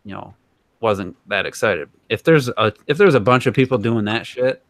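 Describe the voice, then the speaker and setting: A man's voice: on the low side, normal speed, fairly bright tone, no room sound. A man co-hosting a podcast, talking casually and giving his opinions in a rambling, conversational way. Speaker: podcast co-host.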